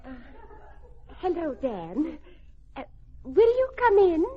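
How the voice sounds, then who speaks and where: A woman's voice: extremely high pitch, very animated, slow, heavily acted. An actress playing a flustered middle-aged mother, stammering and fluttery, in a radio comedy. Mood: fluttery, bashful. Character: flustered, nervous middle-aged mother awaiting her fiancé. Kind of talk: 1940s-50s radio comedy acting. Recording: dull old radio recording, studio audience, hiss.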